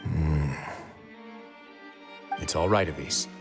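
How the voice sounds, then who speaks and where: An actor playing a calm, protective duke in a video game, with a measured, dry, authoritative delivery. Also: male voice, rumbling low. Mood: reassuring, resolute. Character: calm, commanding, protective adult male duke. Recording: background music with strings.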